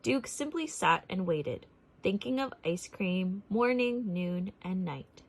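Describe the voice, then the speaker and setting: A woman narrating a picture book as a read-aloud for children, expressive and deliberate in her phrasing. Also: mid-range voice, poor audio.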